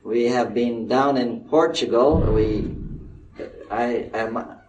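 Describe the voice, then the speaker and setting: Older man, halting speaker with many fillers, distant mic, roomy hall, faint noise. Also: medium pitch, lo-fi sound. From Northern Ireland. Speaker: missionary speaker. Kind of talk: addressing a conference audience.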